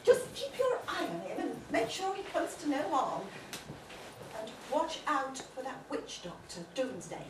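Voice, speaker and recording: A woman's voice: sits high, huge pitch swings, measured pace, full character voice. A woman playing a fussy, scolding senior fairy in a pantomime, projecting like a schoolmistress. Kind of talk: pantomime stage acting with audience participation. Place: amateur theatre stage in a hall. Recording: echoey hall, distant microphone, noisy.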